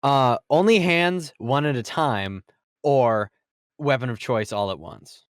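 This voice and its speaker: A man's voice: medium pitch, takes it slowly, smooth, no echo, clean studio sound. A man co-hosting a casual podcast, dropping jokey interjections into the banter. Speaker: podcast co-host.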